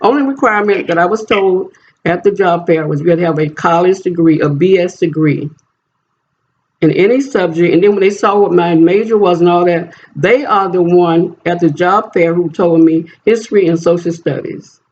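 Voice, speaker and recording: A man's voice: high voice, normal speed, a little mellow. A man on a phone call as a job applicant, talking in an insistent, repetitive way. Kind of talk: phone conversation. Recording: dull noisy phone call recording.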